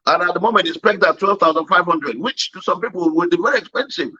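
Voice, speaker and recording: Male interviewer, chatty, bright tone, husky, muffled sound. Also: high voice, quick pace. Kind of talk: interview questions.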